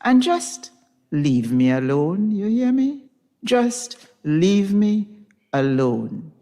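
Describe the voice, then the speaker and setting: A proud, wounded young wife, acted out by a woman reader. She speaks slowly, with pointed emphasis, in a story reading that rings with the reverb of a hall. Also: mid-range voice, sing-song, warm tone, full character voice. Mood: cold anger.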